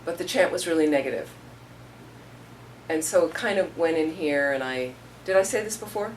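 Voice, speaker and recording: A woman's voice: deep voice, lively intonation, normal speed. A middle-aged woman giving an informal Buddhist talk, telling stories in a conversational way and acting out what people said. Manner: conversational, storytelling with acted-out quotes. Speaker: Buddhist teacher. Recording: distant mic with faint room noise.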